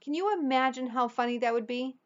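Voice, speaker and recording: Woman reading as an excitable, lifted-pitch joking dad. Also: a little fast, muffled recording, natural voice. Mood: amused excitement. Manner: teasing, exclaiming.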